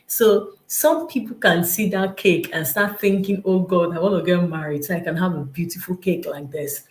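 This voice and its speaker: A woman with a Nigerian accent and a slightly low voice teaches in an informal, motivational way. She is emphatic and repeats key phrases, slipping into imagined remarks conversationally. The sound is noticeably roomy and echoing, as on a live stream. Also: normal speed, darkish tone.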